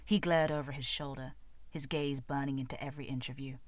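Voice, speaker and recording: A woman narrating fiction as an amateur audiobook reader, with an expressive, theatrical delivery. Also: very deep voice, fairly quick, no echo. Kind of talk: dramatic scripted narration of fan fiction.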